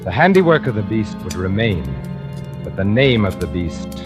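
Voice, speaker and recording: A refined, condescending painter with a dark secret, in vintage radio suspense style. His delivery is deliberate and theatrical, with prominent music behind it on an old broadcast recording. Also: lowish voice, very animated. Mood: brooding, sinister. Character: cultured, scheming male painter covering up a murder. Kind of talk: 1940s radio drama acting, first-person suspense narration.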